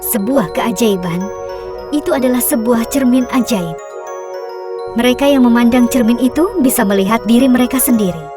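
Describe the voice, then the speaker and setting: A woman narrating a scripted children's fairy tale in a measured, expressive storytelling manner. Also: a little high. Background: music.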